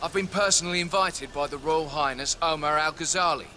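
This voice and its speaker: A man's voice: a little high, brisk, noisy background, close to an everyday voice. A man playing an indignant, entitled guest in a video game, haughty and insistent. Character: indignant, entitled male guest refused entry.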